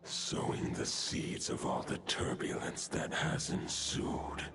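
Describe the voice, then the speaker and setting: A man voicing an ancient, noble wolf spirit in a fantasy game, grave and deliberate, with a gravelly, breathy growl. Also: very noisy, natural voice. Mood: grave, weary. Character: ancient male great wolf spirit, solemn, weary, noble.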